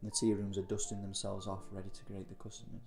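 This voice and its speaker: A man's voice: lowish voice, monotone, fast, creaky, over music. A man narrating a scripted first-person audio story in a descriptive, reflective manner. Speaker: narrator of an audio story, playing a scout leader.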